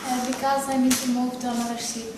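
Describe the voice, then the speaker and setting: A woman's voice: high voice, level tone, fast talker, natural voice. A teenage girl with a Greek accent playing a polite, eager applicant for a secretary job in a school role-play. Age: teenage student. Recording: very echoey, distant, noisy room.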